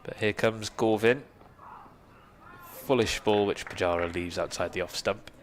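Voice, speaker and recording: A measured, courteous cricket commentator whose first language is Welsh, giving ball-by-ball calls in a lowish, even voice. A faint ambient hiss runs quietly under his words. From Wales.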